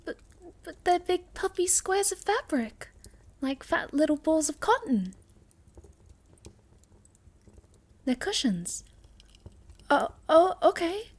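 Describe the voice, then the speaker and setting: A woman voicing a shy, sweet, curious young moth girl in an ASMR roleplay, speaking softly and politely with a hesitant stammer. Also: high voice. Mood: puzzled, apologetic.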